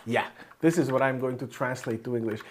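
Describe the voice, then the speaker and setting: A man's voice: mid-range voice, brisk. Hungarian-speaking man coding aloud, noisy recording. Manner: thinks aloud while typing. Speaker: programming tutorial host. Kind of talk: informal screencast coding tutorial. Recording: clear background noise, keyboard clicks. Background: computer keyboard typing and mouse clicks.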